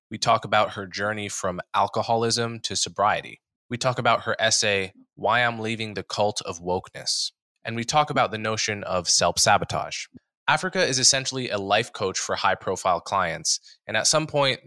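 A man hosting an interview podcast, his voice low and placed deep in the chest, yet carrying a forceful, bright edge. He speaks in a measured way and sometimes trails into small hesitations while he shapes a question. The sound is dry and close. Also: no room sound. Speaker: podcast host. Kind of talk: interview host.

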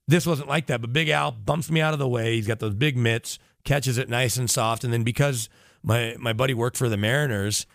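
Talkative American man with a creaky voice. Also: medium pitch, moderate pace, fairly bright tone, no echo, studio quality. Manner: talkative, rambling storyteller. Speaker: sports radio reporter, podcast guest. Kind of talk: casual anecdotal chat.

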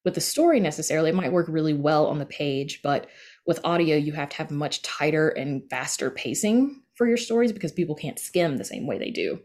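A woman's voice: low voice, expressive, a little fast, darkish tone. A woman talking casually as an interview guest, chatty and peppering her explanations with little conversational asides. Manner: chatty, frequent "you know" asides. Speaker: interview guest, podcast creator and story editor.

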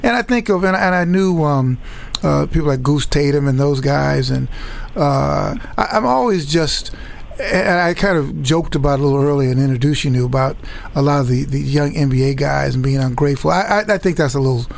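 A man's voice: a little high, brisk, vocal fry. A man from Chicago's South Side hosting an interview, talking reflectively and often repeating words as he thinks. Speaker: host.

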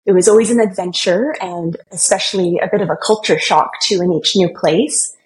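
A woman's voice: on the low side, fairly quick, a little hiss or hum, rough recording. Friendly woman guest, easy laughs. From Germany, raised in Canada. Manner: warm, friendly, laughs easily. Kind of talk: conversational interview answers.

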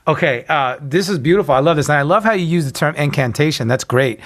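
A man's voice: a little high, expressive, quick pace. A man hosting an interview, effusive and full of praise, talking in loose run-on sentences. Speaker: podcast host. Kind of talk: conversational interview.

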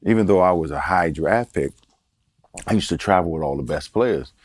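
A man in his sixties from Baltimore, a guest telling stories from his life in a relaxed way, chuckling and repeating words for emphasis. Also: deep voice, normal speed, slightly rough, no room sound. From Baltimore, Maryland. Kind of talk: casual storytelling interview.

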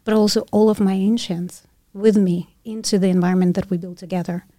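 A middle-aged woman professor whose first language is Russian, speaking in a measured, philosophical way and often checking in with "right?". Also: medium pitch, steady tone, dark tone, no room sound, clean studio sound. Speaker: professor of physics and education. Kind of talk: reflective conversation.

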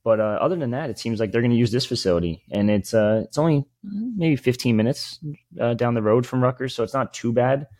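A man's voice: medium pitch, expressive, a little fast, a little mellow, no echo, studio quality. A man co-hosting a sports podcast, talking in a rambling, informal and opinionated way. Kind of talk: casual sports chat.

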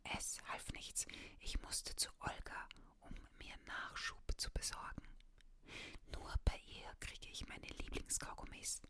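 A woman reading a first-person children's detective story aloud in a soft whisper, ASMR style. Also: a little slow. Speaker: reader.

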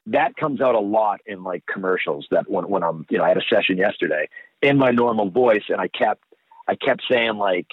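A man's voice: fairly low pitch, brisk, dry recording. A Boston-accented man chats casually and rambles about his work, restarting sentences often. His voice comes through a thin, narrow phone line and sounds close and dry. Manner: chatty and rambling, with many restarts. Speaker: voice actor guest. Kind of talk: casual interview talk.

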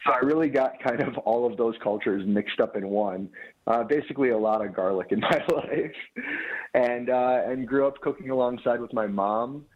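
A man's voice: medium pitch, moderate pace, breathy edge. A man in his thirties from Michigan, a business owner talking earnestly as a radio guest, rambling with many restarts. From Dearborn, Michigan. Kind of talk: casual radio interview. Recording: tinny phone-line audio.

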